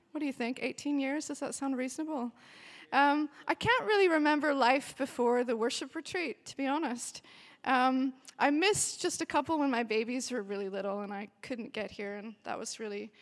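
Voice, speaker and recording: A woman in her forties with a high, bright voice gives a warm, chatty welcome to a church retreat gathering. She sounds emotional and breaks into cheering bursts. Her voice rings out with a long reverb in a big hall. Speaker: worship director. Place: large hall with a live audience.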